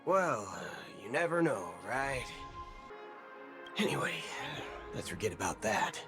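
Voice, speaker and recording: An actor playing a brash, impatient, playful gunslinger in a video game, with a folksy, swaggering way of talking. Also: male voice, mid-range voice, sing-song, a little slow. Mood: sly, casual. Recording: clear music behind.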